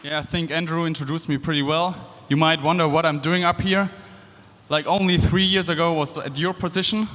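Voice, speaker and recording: A young man with a German accent speaks to a hall full of students in a casual, upbeat way, with loose fillers and restarts. His voice sits a little high for a man. Long reverb from the big hall trails behind each phrase. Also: fairly even intonation, fairly quick, a bit bright, a little hiss or hum. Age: 20s. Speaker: guest speaker. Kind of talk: stage talk to students. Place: large hall.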